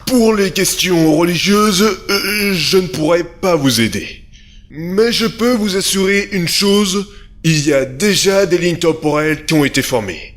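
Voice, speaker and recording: A man acting in an audio drama as a calm, formal inventor giving a didactic conference speech. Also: high voice, measured pace. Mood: measured, assured. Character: calm male inventor presenting his time machine.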